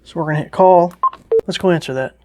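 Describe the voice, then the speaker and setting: A man's voice: fairly high pitch, fast, dark tone. Casual man testing a gadget. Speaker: product reviewer. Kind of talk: casual hands-on product test narration. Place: indoors around a house, behind the camera.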